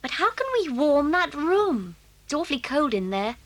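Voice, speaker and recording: A woman playing a bossy, excitable English boarding-school girl with jolly slang in a children's audio drama. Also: high voice, normal speed. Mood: eager, excited. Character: bossy, excitable schoolgirl planning a secret party.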